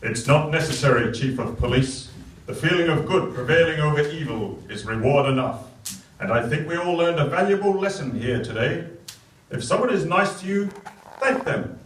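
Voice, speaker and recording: An actor playing a smug, righteous superhero in a live radio serial parody, self-assured and declamatory with deadpan asides. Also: male voice, fairly high pitch, normal speed, slight background noise, lightly acted. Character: smug, righteous male superhero, cheerfully moralising. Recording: echoey theatre from far off.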